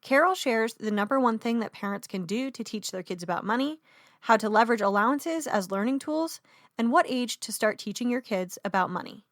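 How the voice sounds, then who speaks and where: A woman hosting a podcast, reading a prepared intro and asking measured interview questions. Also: fairly high pitch, expressive, dry recording, studio quality. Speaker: podcast host.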